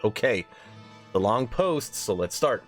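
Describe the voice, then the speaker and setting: A man's voice: mid-range voice, big pitch swings, measured pace, faint music behind. A man hosting a gaming news channel, sounding nasal from a cold, talking earnestly in a conversational way. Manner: earnest, conversational, reads a forum post aloud. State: has a cold.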